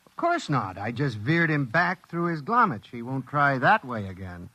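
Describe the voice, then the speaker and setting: A man's voice: mid-range voice, sing-song, pushed and bright, dry recording. An actor playing a nonchalant, chatty, slightly weary serviceman from the future in an old radio drama. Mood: breezy, businesslike. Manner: breezy, unhurried, matter-of-fact. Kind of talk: mid-century American radio drama acting. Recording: dull, dry vintage radio recording.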